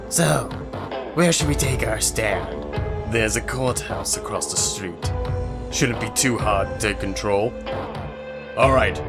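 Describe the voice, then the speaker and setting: A man voicing a smug, menacing gang thug in an audio drama, taunting and gloating. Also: medium pitch.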